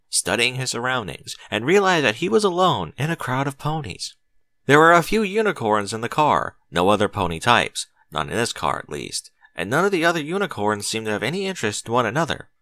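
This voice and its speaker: A man narrates fiction with a very lively, swooping delivery at an average pitch. His voice is bright and forceful, recorded dry and close, and he phrases each line theatrically with clear emphasis. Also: no echo. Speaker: audiobook narrator. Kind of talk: dramatic scripted story narration.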